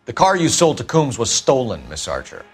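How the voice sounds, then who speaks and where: A man's voice: mid-range voice, huge pitch swings, normal speed, soft background music. An actor playing a stern, relentless police detective in a video game, clipped and formal in his pressing questions. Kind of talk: video game drama acting.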